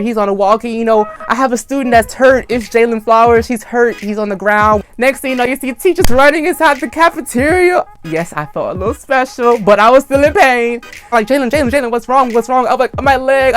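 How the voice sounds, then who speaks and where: High-voiced young man telling a storytime. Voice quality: high-pitched voice. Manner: animated, acts out screams and quotes. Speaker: storytime vlogger. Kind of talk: casual storytime.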